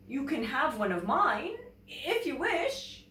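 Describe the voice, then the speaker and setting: A polite male polar bear from a children's storybook, acted out by a woman. He pleads gently and apologetically, with slow, swooping phrases. The sound is roomy and a little distant. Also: high-pitched, sing-song, slight background noise, pitched above the performer's own voice, exaggerated voice. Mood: apologetic, pleading. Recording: roomy echo, away from the mic.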